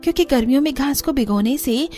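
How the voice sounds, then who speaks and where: Eager, high-voiced young village daughter-in-law acted by a woman. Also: animated, fast, warm tone, faint music behind. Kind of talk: animated Hindi cartoon story acting.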